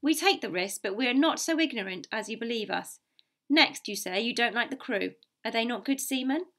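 Storybook gentleman doctor, acted by a woman reader: polite, shrewd, direct, measured and articulate. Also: fairly high pitch, animated. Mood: courteous, probing. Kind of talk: audiobook character voice.

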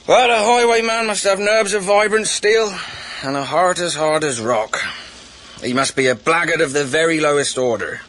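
Actor as a bright, swaggering highwayman rogue. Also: normal speed, lightly acted. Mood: theatrical boasting. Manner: florid archaic speech, rough grammar, taunting. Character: swaggering ghostly highwayman with antique pistol, playfully menacing. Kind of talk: radio drama acting.

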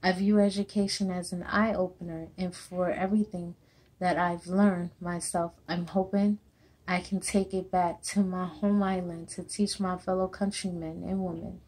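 A young woman from Jamaica with a Jamaican accent, slowly reading a personal essay to a webcam in a small room at home. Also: lowish voice, flat delivery, darkish tone, husky, low-quality recording. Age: young adult. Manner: slow, halting reading with restarts. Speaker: student. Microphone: webcam or laptop camera close up.

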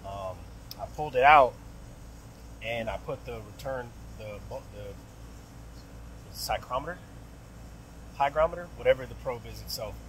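A man's voice: medium pitch, unhurried, pauses often, fairly bright tone, a little hiss or hum, low-quality recording. A young man working as an HVAC technician, talking through a service call in a casual vlog, thinking aloud with many fillers and restarts. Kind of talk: casual work vlog narration. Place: outdoors by an AC unit and indoors in a closet. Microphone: phone camera held close.

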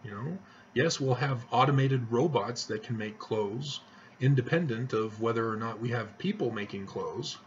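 A man's voice: mid-range voice, moderate pace, dull audio, a little hiss or hum. A middle-aged man talking casually to camera from a small living room, rambling and earnest, with restarts and chuckles. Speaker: vlogger. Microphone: webcam at desk distance.

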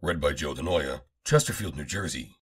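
A man reading the Bible aloud as scripted narration, in a measured, declamatory manner, phrase by phrase. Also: extremely low pitch, expressive, a bit bright, husky. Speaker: Bible reader.

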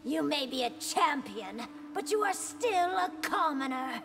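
An actress voicing a scornful, proud young warrior princess in a video game, speaking in a formal, haughty way. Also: female voice, high-pitched, expressive, measured pace, long reverb tail, over music. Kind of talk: video game fight-intro banter.

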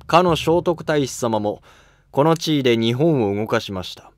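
A man's voice: mid-range voice, animated, moderate pace, dry recording, studio quality, voice pushed up, lightly acted. A man voicing an ambitious young nobleman son in a historical drama, polite but cutting, self-assured and defiant. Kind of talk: amateur historical drama dialogue.

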